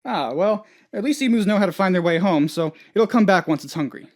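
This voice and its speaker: An actor playing a naive, anxious, scatterbrained office boss who rambles and gets sidetracked, in a video game. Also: male voice, high-pitched, animated, a little fast. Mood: casual, clueless. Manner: rambling, absent-minded, easily sidetracked. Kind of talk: video game character voice lines.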